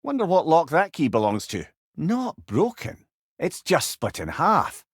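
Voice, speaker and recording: A wise old Scottish companion from a video game, talkative and sentimental under the wry surface. His delivery swings freely up and down in pitch, folksy yet eloquent. The voice sits close and dry, with no room around it. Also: huge pitch swings, measured pace, slightly rough, no echo, full character voice. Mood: advising. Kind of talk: video game character dialogue.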